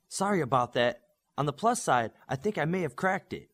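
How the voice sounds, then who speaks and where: A man's voice: fairly high pitch, lively intonation, brisk, creaky, a bit bright, voice pushed up. A man voicing an earnest young superhero tech expert in an audiobook, explaining things methodically. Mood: eager.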